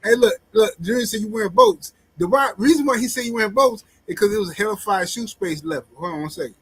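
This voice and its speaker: A man's voice: sits high, sing-song, quick pace, gravelly, over a noisy crowd, heavy background noise. A male host running a casual show who teases his guest and keeps the jokes coming. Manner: teasing, jokey.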